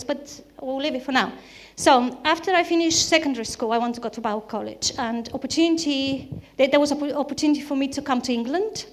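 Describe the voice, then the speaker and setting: A woman from Bulgaria, a native Bulgarian speaker with a Bulgarian accent, preaching a sermon in an earnest style with repeated emphatic phrases. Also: sits high.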